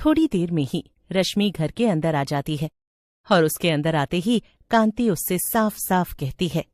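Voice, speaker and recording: A woman's voice: lowish voice, lively intonation, unhurried, darkish tone, dry recording, clean studio sound. A woman storyteller reading a scripted tale as narrator.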